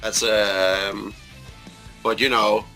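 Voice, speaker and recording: A man in his thirties from Denmark, a band member, answering interview questions in a relaxed way. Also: on the low side, very slow, creaky, soft background music, rough recording. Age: 30s.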